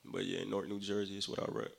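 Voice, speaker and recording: A man's voice: low voice, level tone, quick pace, creaky. A young man from Nigeria, a rapper guest talking casually and cockily in an interview, full of slang. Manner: cocky, slangy, laughs easily.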